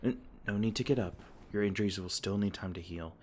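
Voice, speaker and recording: A man voicing a gentle, protective male fae king in an ASMR comfort roleplay, soft-spoken and tender, stumbling over his words now and then. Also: fairly low pitch, a little fast, a little hiss or hum, close to an everyday voice. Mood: tender, soothing.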